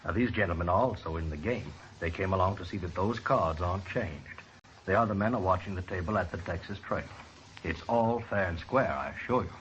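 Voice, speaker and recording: An actor playing a desperate, formally spoken, insistent poker gambler in an old radio western. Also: male voice, sits low, brisk, a bit bright. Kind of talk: 1950s radio western acting. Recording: dull old radio broadcast, faint hiss.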